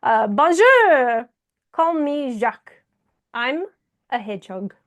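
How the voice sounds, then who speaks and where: A woman's voice: sits high, sing-song, takes it slowly, full character voice. A woman reading a picture book aloud as a dramatic male hedgehog with a secret, in a French accent. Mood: proud, confiding.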